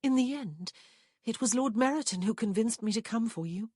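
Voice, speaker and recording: A woman's voice: on the high side, lively intonation, moderate pace, warm tone, slightly rough, no room sound, studio quality, pitched above the performer's own voice. A woman putting on a tender, breathless young lady. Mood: confiding.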